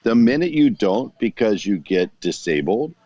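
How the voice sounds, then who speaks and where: A man's voice: fairly low pitch, sing-song, moderate pace, mellow, no echo, lo-fi sound. A man giving an emphatic motivational talk as a guest coach, repeating phrases for effect.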